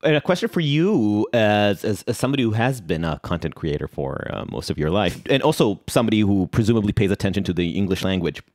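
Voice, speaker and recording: Israeli man with lively low voice. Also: dry recording, clean studio sound. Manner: thoughtful, wordy questions, halting restarts. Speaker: podcast co-host. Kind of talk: casual interview chat.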